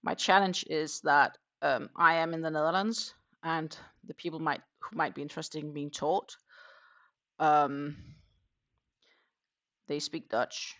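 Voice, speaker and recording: Gravelly bright-voiced Danish woman chatting. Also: low-pitched, steady tone, measured pace. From Denmark. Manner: chatty, musing aloud with fillers and restarts. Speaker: crochet livestream host. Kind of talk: casual chat while crocheting.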